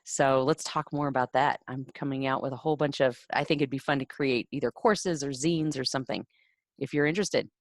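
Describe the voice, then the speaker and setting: A woman's voice: extremely low pitch, steady tone, fairly quick, dry recording, studio quality. A woman author and lettering teacher interviewing her contributors, encouraging and full of affirming replies. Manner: encouraging, warm praise with many affirming "mm-hmm"s. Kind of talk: casual chat.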